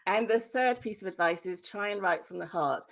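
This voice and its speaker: A woman's voice: mid-range voice, brisk, vocal fry. A British woman speaking candidly and emphatically as a guest in a conversational interview, sharing advice. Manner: candid, emphatic, mildly sweary. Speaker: debut novelist, podcast guest. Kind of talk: conversational interview answers, giving writing advice.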